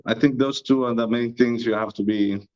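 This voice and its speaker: A man's voice: medium pitch, steady tone, normal speed, darkish tone. A man with an Estonian accent, answering interview questions in an easygoing, modest way. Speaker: guest, disc golf YouTuber. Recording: muffled lo-fi audio.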